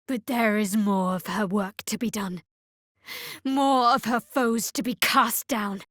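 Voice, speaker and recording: A woman playing a guarded, sardonic young cleric of a dark goddess in a video game, with dry, wry delivery. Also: mid-range voice, slow, dry recording. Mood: devout, solemn. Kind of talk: video game character voice acting.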